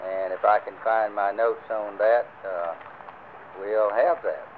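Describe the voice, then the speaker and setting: An older man leading a church group, talking casually with many pauses and fillers. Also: lowish voice, steady tone. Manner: rambling, many fillers and restarts. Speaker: church class leader. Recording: lo-fi phone-like recording with faint hiss.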